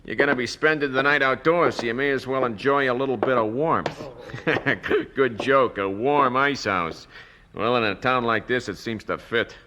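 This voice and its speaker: A man playing a menacing, sardonic kidnapper boss in a 1970s radio drama, gruff and mocking. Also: mid-range voice, normal speed, noisy background, lightly acted.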